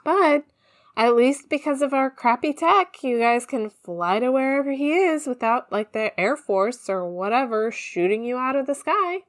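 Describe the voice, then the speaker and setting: Woman playing a high-pitched, chatty narrator. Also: animated, normal speed. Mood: friendly, teasing. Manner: casual, gossipy, slangy. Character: chatty, slangy, conspiratorial female narrator on the run. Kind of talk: conversational audio drama narration.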